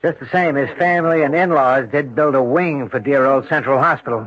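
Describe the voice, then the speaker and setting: A man's voice: mid-range voice, brisk, natural voice. Actor as a breezy, blunt male doctor. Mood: brisk, flippant. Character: brisk, slangy, blunt male emergency-room doctor. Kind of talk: 1970s radio drama acting. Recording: muffled old radio broadcast.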